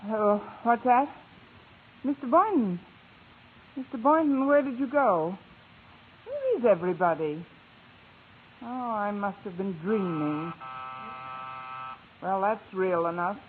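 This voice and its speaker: An American actress playing a wry, romantic high school English teacher in a vintage radio sitcom. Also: female voice, expressive, slow, long pauses. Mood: bewildered. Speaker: lead actress in a radio comedy. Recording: tinny, noisy old radio broadcast.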